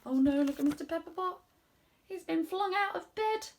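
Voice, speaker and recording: A British woman in her twenties hosting children's story time, speaking in an animated, sing-song way with exaggerated expression. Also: extremely high pitch, normal speed. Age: 20s. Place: small room at home, indoors.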